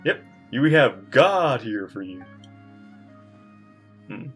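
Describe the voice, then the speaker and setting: A woman streamer chatting through a game live, playful and easily excited. Her voice sits very low but swings wildly in pitch, with faint game music behind. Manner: playful, excitable, quick asides. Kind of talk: casual live reaction chat.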